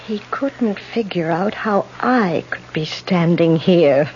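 Casting: shaken boarding house matron, radio drama, deliberate dragging delivery, muffled broadcast sound. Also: female voice, lowish voice, animated, a little mellow, a little hiss or hum. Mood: stunned. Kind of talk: 1970s radio drama acting.

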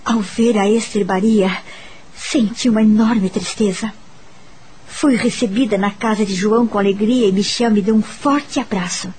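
An actress with a Brazilian accent playing a gentle, grateful young woman in a radio soap opera, earnest and sentimental. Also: medium pitch, fairly even intonation, normal speed, slight background noise, lightly acted. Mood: tender. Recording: background music under the voice.

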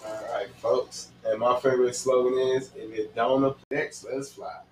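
A man's voice: medium pitch, fairly even intonation, a little slow, darkish tone, slight background noise. A man hosting a casual late-night talk in a slangy, preachy way. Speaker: host. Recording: roomy, distant mic, music behind.

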